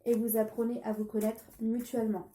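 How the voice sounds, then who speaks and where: A woman's voice: on the high side, flat delivery, fairly quick, warm tone, roomy sound, a little hiss or hum. French-speaking woman reading tarot, with a cold. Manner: hesitant, many fillers and restarts. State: has a cold. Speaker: tarot card reader. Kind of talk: casual tarot reading vlog.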